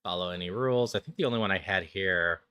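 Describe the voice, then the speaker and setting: A game streamer chatting casually on a livestream in an easygoing, halting way. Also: low-pitched, sharp tone, clear, resonant tone, no room sound.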